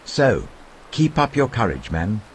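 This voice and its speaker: A man's voice: fairly low pitch, sing-song, a little slow, slight background noise, pitched above the performer's own voice. A man voicing an earnest, commanding male apostle in a scripture reading, with urgent, emphatic, reassuring oratory.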